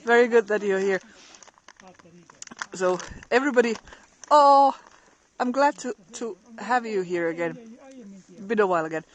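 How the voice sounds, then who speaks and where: A woman's voice: medium pitch, normal speed, long pauses, low-quality recording. A middle-aged woman live-streaming outdoors in a snowy forest, chatting casually with viewers and laughing often.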